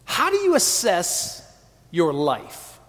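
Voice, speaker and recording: A man in his forties with a high voice preaches earnestly to his congregation. He calls on them to answer back, and his words ring with the long reverb of a church hall. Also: huge pitch swings, slow pace, a bit bright. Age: 40s. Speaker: pastor. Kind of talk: sermon.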